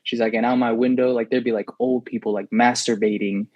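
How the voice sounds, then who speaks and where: A man's voice: mid-range voice, flat delivery, brisk, dull audio. A young adult man whose first language is Spanish, telling rambling stories with lots of "like" fillers as a podcast co-host. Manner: rambling storytelling with many fillers. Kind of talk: casual chat.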